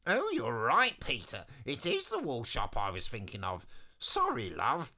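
A storyteller voicing a booming, chatty and easily muddled wizard from a children's storybook. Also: wildly swooping pitch, measured pace, bright, forceful tone, heavily acted.

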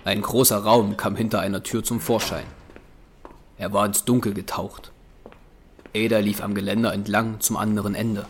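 A man's voice: lowish voice, little pitch movement, normal speed, slight background noise, natural voice. A man narrating a scripted horror adventure as a grave, suspenseful storyteller. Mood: measured. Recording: narration over loud music.

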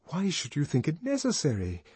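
A man voicing a coaxing, high-pitched young woman. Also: wildly swooping pitch, moderate pace, warm tone, slightly rough, no room sound, heavily acted. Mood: petulant.

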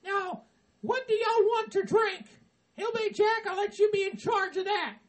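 A man's voice: extremely high pitch, normal speed. A man voicing a bossy hillbilly woman who shrilly orders everyone around.

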